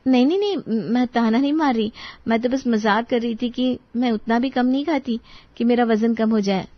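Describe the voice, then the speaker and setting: A woman playing a polite but exasperated young daughter-in-law in a dramatized story, expressive in the way she speaks. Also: a little high, normal speed, no room sound, lo-fi sound, natural voice. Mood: protesting politely. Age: young adult.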